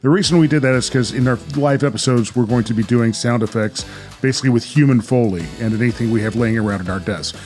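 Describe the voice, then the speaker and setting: A man's voice: mid-range voice, quick pace, a little mellow, soft background music. An American man hosting a podcast, chatting casually and narrating. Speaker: podcast host.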